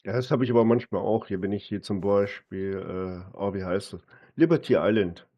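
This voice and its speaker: A man's voice: on the low side, moderate pace, lo-fi sound. A man chatting casually with a gaming partner in a conversational way, often ending phrases with a short tag question. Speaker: gaming partner on a live stream. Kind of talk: casual chat while gaming.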